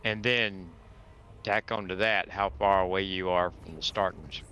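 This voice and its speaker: A man's voice: low-pitched, steady tone, normal speed, sharp tone, smooth, muffled recording. A man chatting casually with a friend in a laid-back, drawling way, getting over a sore throat. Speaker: friend on call. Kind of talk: casual chat.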